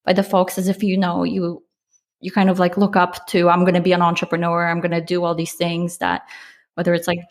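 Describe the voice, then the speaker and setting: Woman, from Poland, podcast guest, reflective, restarts, fillers. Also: on the low side. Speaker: startup founder guest. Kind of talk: casual interview conversation.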